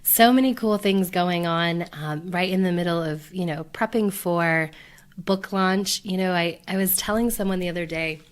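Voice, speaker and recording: A woman hosting her own podcast in a chatty, upbeat way, full of fillers and restarts, her voice deepened by a cold. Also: normal speed. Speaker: podcast host. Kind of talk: casual solo podcast talk.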